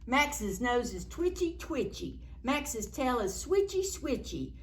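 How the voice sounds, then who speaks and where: A woman with a fairly high voice reads a children's picture book aloud. Her pitch swings widely and she takes her time over the words. The delivery is playful and dramatic, pitched at very young listeners. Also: slow. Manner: animated, playful, dramatic reading with gasps and exclamations; addresses young children with simple questions. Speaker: children's library storyteller.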